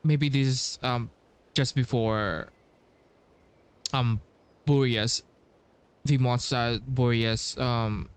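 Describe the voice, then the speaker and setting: A man giving casual gameplay commentary as a streamer, musing in a halting way and repeating bits of dialogue. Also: mid-range voice, fairly even intonation, smooth. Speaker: game streamer.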